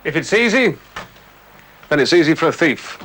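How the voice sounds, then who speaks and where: A man's voice: fairly high pitch, sing-song, normal speed, fairly bright tone. A man with a British accent giving colloquial, matter-of-fact scripted advice in a 1970s television film. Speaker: crime prevention film presenter. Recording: faintly noisy 1970s television soundtrack.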